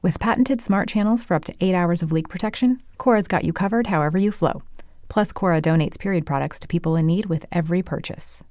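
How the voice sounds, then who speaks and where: Mellow, lower-pitched woman reading an ad. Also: fast talker, dry recording, clean studio sound. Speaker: advertisement voice-over. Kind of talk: scripted modern ad read.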